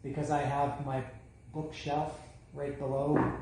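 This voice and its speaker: Man, casual music vlogger at home, slightly high voice, hoarse, rambling, echoey room. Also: level tone, a little slow, darkish tone. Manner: rambling, many fillers and restarts. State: losing his voice. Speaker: music vlogger reviewing albums. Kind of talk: casual solo video talk. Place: home room with bookshelf below camera. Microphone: camera setup mic.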